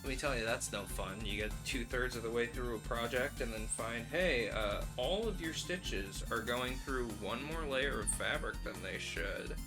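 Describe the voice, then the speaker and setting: A man's voice: mid-range voice, normal speed, hardly any pauses. Playful craft streamer man over music. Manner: playful, wry, acts out asides. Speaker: craft livestream host. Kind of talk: casual chat while demonstrating hand stitching.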